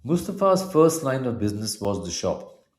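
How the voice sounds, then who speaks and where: A middle-aged man from India with an Indian accent and Punjabi as his mother tongue, narrating a scripted personal essay in measured, formal phrasing. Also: medium pitch, very animated, darkish tone.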